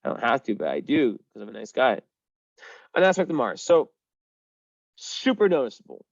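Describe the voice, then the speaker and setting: A man's voice: mid-range voice, huge pitch swings, moderate pace, creaky, no echo, dull audio. A young man giving a casual astrology reading, rambling with lots of fillers, restarts and the odd swear. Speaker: astrology reader. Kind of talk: casual one-on-one chart reading.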